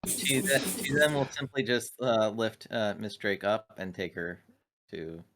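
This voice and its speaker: A man takes part in a tabletop roleplaying game. His voice is on the low side. He talks casually, switching between rules and describing his character's actions. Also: steady tone, a little slow, noisy background.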